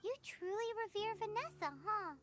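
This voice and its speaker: A woman voicing a small, caring fairy companion with a squeaky childlike voice in a video game, music behind her. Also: normal speed. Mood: concerned.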